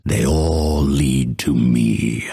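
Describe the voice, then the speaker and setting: A man voicing a vengeful exiled assassin in a video game, grim and proud, with a raspy, theatrical, ominous delivery. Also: a bass voice, extremely slow, mellow, heavily acted. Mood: menacing, defiant. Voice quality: gravelly, raspy. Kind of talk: dramatic video game voice lines.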